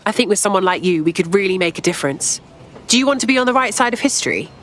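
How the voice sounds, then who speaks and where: An actress playing an earnest, eager young resistance recruiter in a video game cutscene. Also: female voice, medium pitch, lively intonation, fast, a bit bright, a little hiss or hum, close to an everyday voice. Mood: earnest, concerned.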